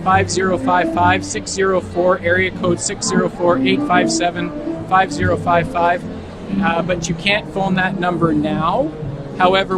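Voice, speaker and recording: Man, high pitch, explanatory, loud music behind. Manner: explanatory, steady, reads out details. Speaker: volunteer, co-host. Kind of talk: casual live-stream chat.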